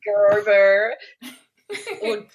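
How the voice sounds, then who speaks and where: A woman with a New Zealand accent co-hosting a fan podcast, giggly and lively. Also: mid-range voice, very slow, dull audio. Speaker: podcast co-host. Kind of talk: casual fan podcast chat.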